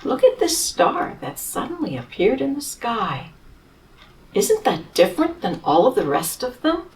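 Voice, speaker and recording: A woman reading a picture book aloud to children, gently explaining the pictures and asking them questions. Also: on the high side, very animated, measured pace, far from the mic, rough recording.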